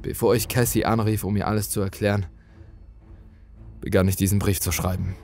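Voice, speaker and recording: A man's voice: on the low side, level tone, brisk, a little mellow, soft background music. A man reading a horror story as dramatic scripted narration.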